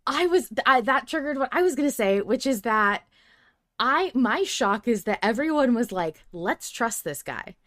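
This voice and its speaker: A woman's voice: fairly high pitch, animated, normal speed, a bit bright. A woman co-hosting a podcast, talking casually and emphatically, with an argumentative bent and some swearing. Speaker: podcast co-host. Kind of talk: animated casual discussion of an audio drama.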